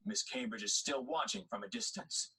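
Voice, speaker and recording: Very bright-voiced, lively male narrator. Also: fairly high pitch, moderate pace, gravelly, breathy edge. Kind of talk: scripted narration of an audio drama.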